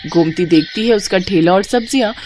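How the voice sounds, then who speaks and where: A woman narrating a scripted animated moral story in a steady, plain storytelling manner. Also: mid-range voice, a little fast, noisy background.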